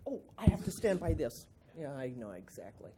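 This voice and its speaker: A woman with a loud voice presenting a conference lecture in a lively, informal way, with frequent fillers. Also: low voice, moderate pace, very noisy. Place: conference room.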